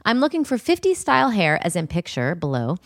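A woman's voice: on the low side, wildly swooping pitch, fairly quick, dry recording, clean studio sound, pitched below the performer's own voice. A woman reading out messages as a chirpy, penny-pinching bride, in an amused dramatic reading. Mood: businesslike, pleading. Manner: reads emojis and spellings aloud, amused.

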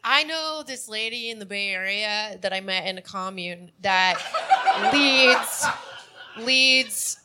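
A woman's voice: mid-range voice, slow, sharp tone, slight background noise. A woman guest comedian on a live podcast, rambling with a giggly delivery.

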